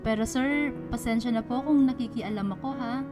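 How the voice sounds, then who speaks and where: A woman playing a caring, gently firm single mother in a radio drama. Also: a little high, brisk, music in the background, natural voice. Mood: earnest, concerned.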